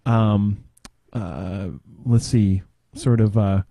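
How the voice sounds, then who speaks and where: A middle-aged man chatting casually on a podcast, explaining things in a rambling, halting way with trailing pauses. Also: on the low side, steady tone, gravelly, mellow, no room sound, clean studio sound.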